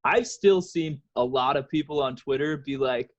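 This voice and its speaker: A man's voice: medium pitch, normal speed. A young man co-hosting a podcast in casual chat, on a slightly dull recording. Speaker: podcast co-host.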